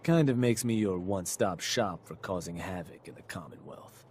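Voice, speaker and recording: An actor playing a cocky, scheming raider adviser in a video game, talking in a persuasive, sardonic way. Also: male voice, sits low, lively intonation, normal speed, a little mellow. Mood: coaxing. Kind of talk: video game character dialogue.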